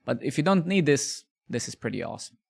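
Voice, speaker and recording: A man with a gravelly edge to his voice, talking his viewers through a coding session. He is chatty and relaxed, restarting his phrases as he thinks aloud and answers their questions. His pitch sits mid-range for a man. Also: fast talker. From Bosnia. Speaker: live coding streamer. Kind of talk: casual live-stream chat while coding.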